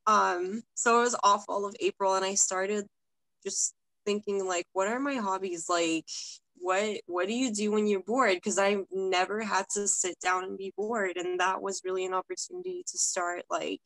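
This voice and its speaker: A woman's voice: mid-range voice, normal speed, vocal fry, a bit bright, no room sound, poor audio. A young woman from Russia, a guest talking casually over a video call from home, with many filler words. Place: home room, indoors.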